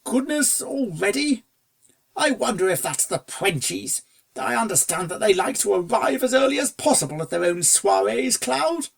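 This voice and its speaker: A genteel, fussy gentleman pony from a storybook, as acted out by a narrator. He is good-natured and dithers in posh, old-fashioned phrases, the voice lifted above plain narration and edged with creak. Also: medium pitch, expressive, moderate pace, pitched above the performer's own voice. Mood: flustered, indulgent. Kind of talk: storybook character dialogue.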